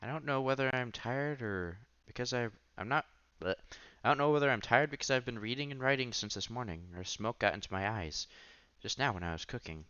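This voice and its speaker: Bright-voiced man reading a visual novel, swooping pitch. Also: animated, brisk, clean tone, highs cut off. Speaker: host reading a visual novel aloud for viewers. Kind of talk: casual read-aloud playthrough with side comments. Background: background music.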